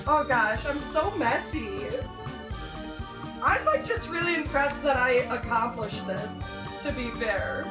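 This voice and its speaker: Woman, 30s, chaotic home cook, swearing, self-mocking, slow lively high voice, roomy kitchen, music behind. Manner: chaotic, swearing, laughing at herself. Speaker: streamer cooking along with a friend. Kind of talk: casual livestream chat. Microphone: fixed camera across the kitchen.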